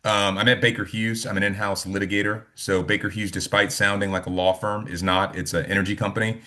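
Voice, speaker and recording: A man from South Carolina explaining his work as a panelist in a measured, informal professional way. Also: on the low side, little pitch movement, bright, forceful tone. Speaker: panelist, in-house litigator. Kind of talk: informal professional self-introduction.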